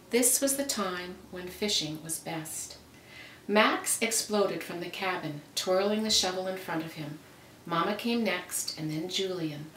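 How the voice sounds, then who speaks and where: A woman reads a children's storybook aloud in a fairly low voice, shaping phrases with care and drama. The recording is roomy and distant, with faint noise behind her. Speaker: reader reading aloud. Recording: roomy, noisy, distant microphone.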